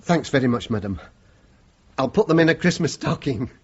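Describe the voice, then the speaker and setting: A man's voice: medium pitch, lively intonation, normal speed, breathy edge, no echo. An actor playing a plain-spoken, loyal middle-aged chauffeur and father with a southern English working-class accent, in a radio drama. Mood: polite, deferential. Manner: deferential to employer, firm with son. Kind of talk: British radio drama acting. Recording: muffled, noisy vintage radio recording.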